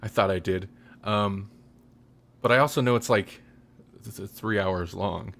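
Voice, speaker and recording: Man, low voice, casual podcast host, rambling, self-correcting. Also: measured pace, gravelly, a bit bright. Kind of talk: casual chat.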